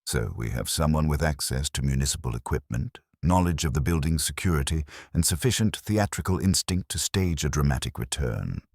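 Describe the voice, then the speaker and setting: A man's voice: rumbling low, lively intonation, a little fast, vocal fry, few pauses, darkish tone, husky, no room sound, clean studio sound, heavily acted. A man voicing a diligent, earnest police sergeant who reports in a methodical, formal way within a detective story. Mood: earnest, satisfied.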